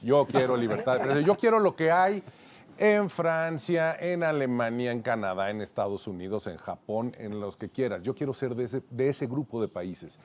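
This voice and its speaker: A man's voice: medium pitch, animated, moderate pace. A Mexican man commenting on politics on a panel, building his case in rhythmic repeated lists. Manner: lists points in rhythmic repetitions.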